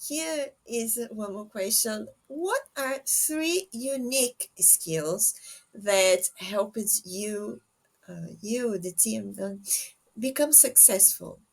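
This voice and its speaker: A woman's voice: fairly high pitch, lively intonation, takes it slowly. A woman hosting a casual interview, speaking hesitantly as she searches for words. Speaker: interviewer, podcast host.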